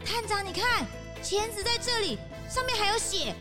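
A woman voicing an eager young detective sidekick in a children's audio drama, excited and full of questions. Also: extremely high pitch, moderate pace, loud music behind the voice.

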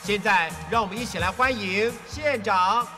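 A formal stadium announcer in an old cassette radio drama. The voice rings with long public-address reverb, and music is clearly heard behind it. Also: mid-range voice, moderate pace.

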